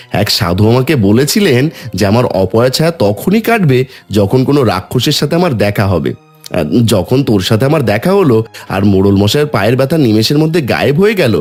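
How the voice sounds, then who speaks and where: A man's voice: medium pitch, sing-song, moderate pace, darkish tone, soft background music. A man voicing a kind, shrewd and humble woodcutter hero in a cartoon, assured as he explains himself. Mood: confident, triumphant.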